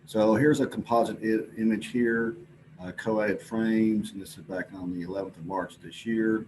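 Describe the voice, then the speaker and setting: Man, deep voice, informal research talk, hesitant pauses, clear background noise. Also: steady tone, darkish tone. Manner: halting, hesitant explanation with pauses. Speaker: presenter at an astronomy symposium. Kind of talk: informal scientific slide presentation. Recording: clearly noisy recording with background ambience.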